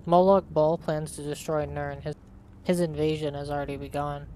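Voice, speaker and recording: A man's voice: fairly high pitch, flat delivery, normal speed, a little mellow, a little hiss or hum. A man hosting a let's play, reading his character's dialogue aloud and narrating as he plays.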